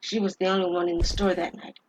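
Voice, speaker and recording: A woman's voice: on the low side, flat delivery, fast talker, a little hiss or hum, poor audio. A woman narrating a scripted dramatic story as the storyteller.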